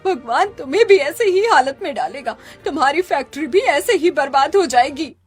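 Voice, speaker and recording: A woman's voice: high voice, expressive, normal speed, faint music behind. A woman voicing a distraught, pleading poor village wife in a Hindi cartoon story. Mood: bitter cursing. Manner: pleading, tearful.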